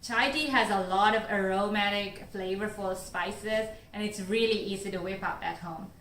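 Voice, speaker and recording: A woman from Sri Lanka talks about her spice business in earnest, measured answers, now and then pausing on an "uh" or "um". Her voice sits at an ordinary pitch for a woman. It is recorded in a room with a noticeable echo. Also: fairly bright tone, slight background noise. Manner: earnest, measured explanations with occasional fillers. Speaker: spice company founder. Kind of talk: scripted-sounding interview answers.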